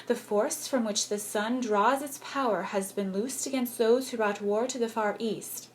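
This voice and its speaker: Higher-pitched woman reading a formal speech, noisy recording. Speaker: actress reading a historical speech. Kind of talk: formal read-aloud political speech.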